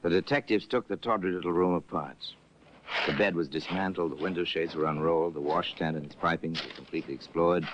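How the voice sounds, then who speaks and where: Very deep, grave radio narrator man. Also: fairly quick, a little hiss or hum. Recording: muffled old radio broadcast.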